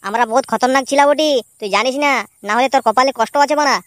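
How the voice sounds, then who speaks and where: A performer voicing an excitable, crude petty crook in a slapstick cartoon, exclaiming and repeating words. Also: sits high, fast, no room sound, clean studio sound. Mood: blustering threats. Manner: exclamatory, repetitive, swearing, bantering. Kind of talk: comic cartoon dubbing in colloquial dialect.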